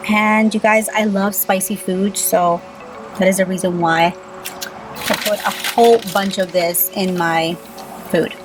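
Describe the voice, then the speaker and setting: A woman's voice: mid-range voice, steady tone, unhurried, background noise. A young woman from Peru, chatting casually to her vlog audience while eating and often saying "you guys". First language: Spanish. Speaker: beauty YouTuber. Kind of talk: casual mukbang vlog chat.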